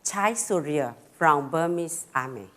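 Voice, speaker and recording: A middle-aged woman from Burma with a Karen accent, giving a personal talk in simple, halting phrasing with pauses and fillers, a little nervous. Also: sits low, animated, a bit bright. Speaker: speaker at a talk.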